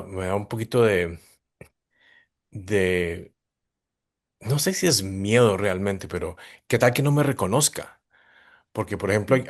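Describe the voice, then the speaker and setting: Calm man moderating. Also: on the low side. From Colombia.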